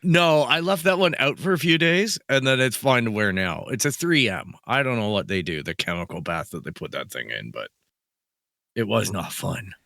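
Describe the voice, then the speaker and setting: A man from Canada co-hosting a casual podcast, his voice a little rough, easygoing and rambling. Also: fairly low pitch, lively intonation, normal speed, bright, forceful tone, no room sound, clean studio sound. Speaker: podcast co-host.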